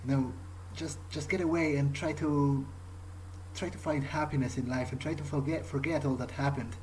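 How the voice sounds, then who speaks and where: A man improvising in character during a game playthrough, speaking in a halting way and repeating words. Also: medium pitch, steady tone, darkish tone, low-quality recording. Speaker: gamer roleplaying his character. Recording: faint background noise.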